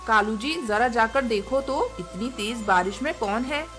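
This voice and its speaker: Woman as a high-voiced, bossy, greedy bird landlady. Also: measured pace, fairly bright tone. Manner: curt, scolding, bossy. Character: haughty, grudging, greedy bird wife and landlady. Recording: music behind the voice.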